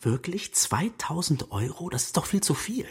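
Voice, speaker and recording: A man's voice: a little high, very animated, normal speed, slightly rough, exaggerated voice. An actor playing a stern, disbelieving father in a children's radio play, speaking in a terse, stiff way. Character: stern, disbelieving father questioning his wife.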